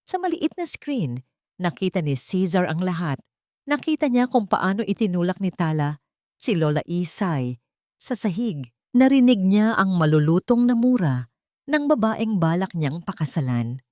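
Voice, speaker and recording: A woman narrating a dramatic audio story in an emotive, expressive way. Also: lowish voice, unhurried, dry recording, clean studio sound.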